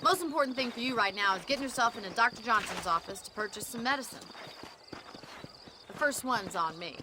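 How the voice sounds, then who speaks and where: An actress playing a brisk, self-assured ranch woman in a Western game cutscene, wry and matter-of-fact. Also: a little high, lively intonation, natural voice. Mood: brisk, instructing. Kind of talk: video game cutscene acting. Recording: clear outdoor background noise.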